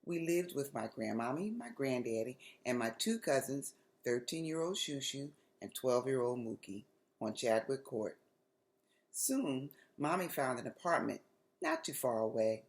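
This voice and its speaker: A woman with an American accent reading a children's story aloud expressively, with deliberate, dramatic phrasing. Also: a bass voice, very animated.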